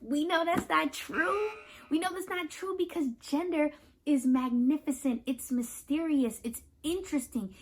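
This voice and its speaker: A woman with a high voice reads aloud for children as a host and teacher. Her pitch swings widely as she explains things step by step. She speaks straight to the listener, checking in with simple questions. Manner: animated, instructive, addresses listeners with questions. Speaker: host reading a picture book aloud to children.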